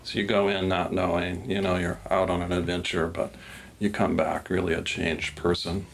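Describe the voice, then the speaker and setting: Deep gravelly man. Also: little pitch movement, moderate pace, slight background noise. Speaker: interviewee. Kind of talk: documentary interview.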